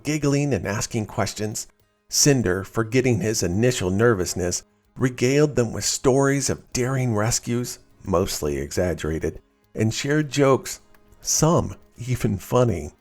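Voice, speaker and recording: A man reading a scripted children's story as narrator, in an expressive storytelling style. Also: mid-range voice.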